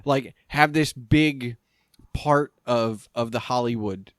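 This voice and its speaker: A man hosting a podcast, talking casually in a rambling, halting way with many restarts. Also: mid-range voice, no echo, clean studio sound. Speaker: podcast host. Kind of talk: casual interview conversation.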